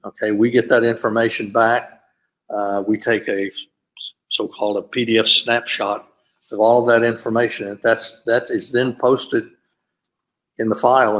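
Lower-voiced older man explaining procedures. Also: poor audio. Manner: steady, explanatory, with frequent "uh" fillers. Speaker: panelist, graduate school administrator. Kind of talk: conference panel talk over video call. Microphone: video call.

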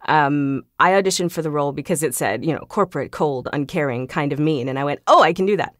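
A woman's voice: low voice, fast, a bit bright, no echo, clean studio sound. A woman chatting casually as an interview guest, laughing easily as she talks. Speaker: podcast guest, voice actor. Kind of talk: casual conversational interview talk.

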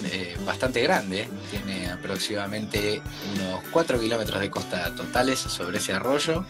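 A man in his thirties with an Argentine accent, explaining things in casual chat on a video call. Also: fairly low pitch. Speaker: guest camping video creator. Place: indoor room, video call. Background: music.

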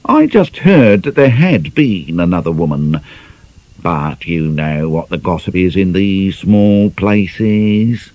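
A man voicing a suave, insinuating gentleman in an audiobook, pausing pointedly as he slyly fishes for gossip. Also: deep voice, very animated, dry recording, muffled recording, full character voice. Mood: slyly probing. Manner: suave, hesitant, deliberately pointed.

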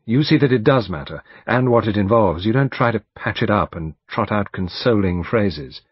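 A man's voice: fairly low pitch, expressive, moderate pace, slightly rough, no echo. A man reading an audiobook, voicing an earnest, determined young woman who speaks insistently. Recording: dull lo-fi recording.

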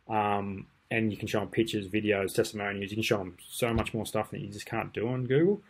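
Laid-back man with a low voice. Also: a little fast, slightly rough, rough recording. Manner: relaxed, rambling, many ums and restarts. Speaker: marketing tutor. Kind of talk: casual screen-share tutorial. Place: indoors at a desk.

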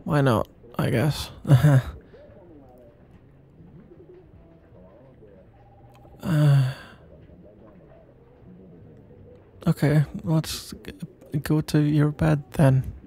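A man playing a shy, sleepy, smitten young best friend in an intimate ASMR roleplay, stammering and awkward, with a gravelly, breathy voice. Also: fairly high pitch, fairly even intonation, warm tone. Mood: awkward, flustered. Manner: halting, stammering, awkward, mumbling.

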